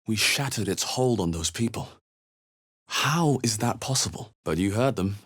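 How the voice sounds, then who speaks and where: A male video game adventurer with a fairly low, husky voice. He is observant and wry, musing in a measured, understated way, yet his pitch moves in lively turns. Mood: wry, concerned. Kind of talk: video game voice acting, short reactive lines.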